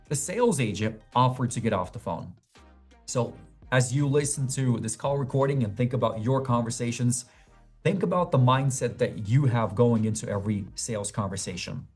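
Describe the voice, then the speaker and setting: Husky-voiced man teaching sales over music. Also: mid-range voice, darkish tone. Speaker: sales trainer, host. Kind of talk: instructional narration.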